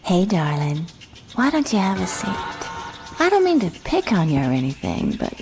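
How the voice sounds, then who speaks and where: An actress playing a discreet, sultry madam in a video game, poised, teasing and confiding. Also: female voice, lowish voice, wildly swooping pitch, moderate pace. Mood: sly, confiding. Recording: music behind.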